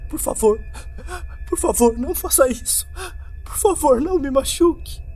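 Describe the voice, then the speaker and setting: A man's voice: very high-pitched, animated, moderate pace, a little mellow, soft background music, pitched above the performer's own voice. A man voicing a terrified, pathetic double of a horror story's hero, crying and pleading in a repetitive whimper. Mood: pleading, tearful.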